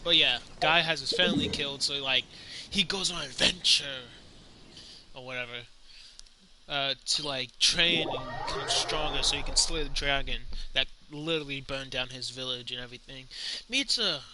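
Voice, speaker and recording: A man's voice: mid-range voice, moderate pace, noisy background. A young man giving casual gameplay commentary, talking in a slangy, rambling, offhand way. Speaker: gaming streamer. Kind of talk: casual let's play commentary. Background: game music.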